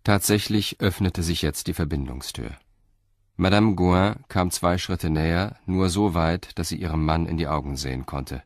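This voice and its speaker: A man narrating a radio play in an even, descriptive way. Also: low-pitched, no echo, studio quality. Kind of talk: scripted narration.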